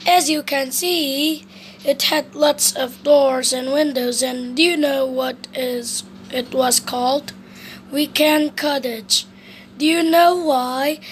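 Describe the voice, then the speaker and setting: A woman reading a children's storybook aloud, with occasional halting slips. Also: sits high, slight background noise. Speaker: storybook reader.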